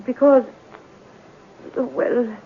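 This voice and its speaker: A woman's voice: sits high, fairly even intonation, very slow, gravelly, slight background noise, exaggerated voice. An actress playing an uneasy, evasive working-class landlady who stammers and talks in a folksy, ungrammatical way, in a 1970s radio drama. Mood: hesitant, uneasy. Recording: muffled narrow-band old radio broadcast.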